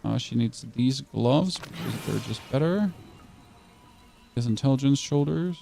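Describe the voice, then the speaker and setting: A German man chatting excitably and casually while streaming a video game, often stumbling and restarting his words. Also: medium pitch, measured pace, vocal fry, faint music behind. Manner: chatty, excitable, self-correcting. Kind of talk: casual gameplay commentary.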